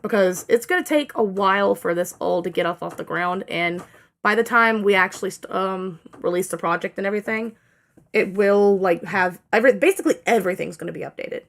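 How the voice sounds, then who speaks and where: A young woman rambling through a casual channel update, full of fillers and self-corrections, her throat dry and strained and her energy low from fatigue. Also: brisk, fairly bright tone. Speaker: channel owner giving an update.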